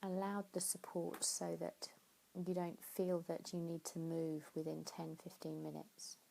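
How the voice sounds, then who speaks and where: A woman leading a guided sleep meditation in a soothing, unhurried way, pausing between phrases. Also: fairly low pitch, completely flat delivery, gravelly, dark tone, a little hiss or hum, poor audio. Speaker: yoga nidra meditation teacher.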